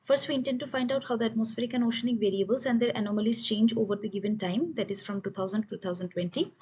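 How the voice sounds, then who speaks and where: Husky woman giving an academic presentation. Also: a little high, rapid-fire, a little mellow. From India. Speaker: student researcher presenting a study. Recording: dull, lo-fi voice recording.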